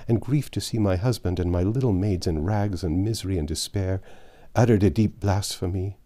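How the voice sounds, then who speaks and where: A man's voice: sits low, moderate pace, mellow, natural voice. A man reading an audiobook as a grieving, starving peasant mother, telling of hardship in impassioned, archaic speech. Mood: anguished. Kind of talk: dramatic audiobook character reading.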